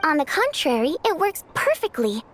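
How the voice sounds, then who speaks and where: A woman's voice: high-pitched, huge pitch swings, normal speed, a bit bright, heavily acted. A woman playing a capable, matter-of-fact young station manager in a video game, composed and assured. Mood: composed, determined. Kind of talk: animated video game voice acting. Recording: faint music behind the voice.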